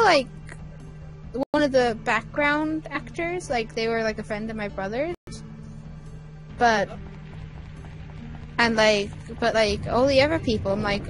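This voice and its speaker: Woman co-host, slow, animated delivery, giggly rambling chat, faint game music behind. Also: sits high, rough recording. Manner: rambling, giggly, many fillers. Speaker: co-commentator on a game stream. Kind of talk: casual chat.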